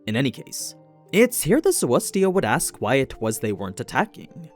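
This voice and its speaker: A man reading scripted narration in a measured explainer manner, as for a recap video. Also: medium pitch, big pitch swings. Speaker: narrator of an anime lore recap show. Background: background music.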